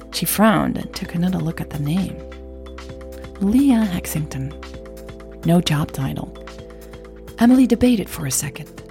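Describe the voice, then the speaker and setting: A woman's voice: lowish voice, creaky, warm tone. A woman narrating scripted audio fiction as a podcast host.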